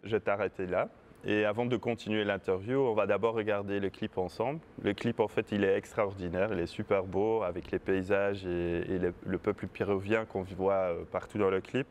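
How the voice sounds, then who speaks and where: Un intervieweur à l'belge qui pose ses questions en hésitant, avec beaucoup de « euh ». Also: male voice, fairly low pitch, fairly even intonation, darkish tone, slight background noise. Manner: halting, with many euh fillers.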